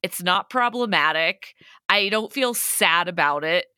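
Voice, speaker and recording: Bright, giggly woman co-hosting a podcast. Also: lowish voice, expressive, unhurried, clean tone, dry recording, studio quality. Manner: bubbly, giggly, blunt and crude. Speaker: podcast co-host. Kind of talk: casual comedic recap chat.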